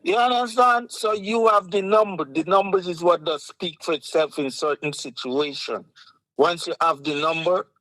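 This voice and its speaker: A man's voice: high-pitched, normal speed, fairly bright tone, no room sound, low-quality recording. A man with a Guyanese Creole accent co-hosting a casual chat, earnestly explaining points with examples. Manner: earnest, explaining with examples, frequent "you understand". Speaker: co-host.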